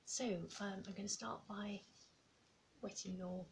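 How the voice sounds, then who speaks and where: A middle-aged woman in a gentle ASMR barbershop roleplay, chatting softly and dropping into whispers as she attends to the listener in a small indoor room. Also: mid-range voice, little pitch movement, a little slow, vocal fry, background noise. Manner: soft-spoken, slips between quiet talk and whispers; chatty, reassuring personal attention. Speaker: ASMR creator playing a barber.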